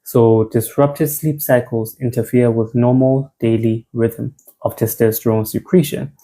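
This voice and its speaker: A man's voice: mid-range voice, steady tone, unhurried, mellow. A young South African man giving an informal men's health talk, conversational, repeating phrases and restarting words.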